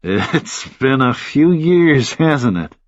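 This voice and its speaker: A man's voice: mid-range voice, sing-song, slow pace, no room sound. Man voicing easygoing, drawling American journalist, slightly muffled. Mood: delighted. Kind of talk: audiobook character dialogue.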